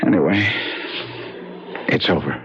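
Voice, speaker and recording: A man's voice: low voice, a little slow, slightly rough, heavily acted. A man acting a tormented, volatile husband racked by guilt in a 1970s radio drama, intense and overwrought. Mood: weary, guilty. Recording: dull old 1970s radio recording.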